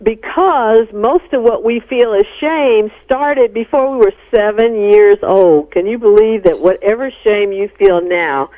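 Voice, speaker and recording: A woman's voice: medium pitch, unhurried, few pauses. A middle-aged American woman explaining things as a psychologist guest in a radio interview. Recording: narrow-band phone-like radio sound.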